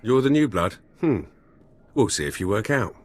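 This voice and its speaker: A gruff middle-aged spy fixer with a very deep, rough husky voice. He talks in blunt, terse sentences, a hard-liner in a video game. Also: expressive, normal speed. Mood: gruff, stern. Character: gruff hard-line veteran male spy turned fixer.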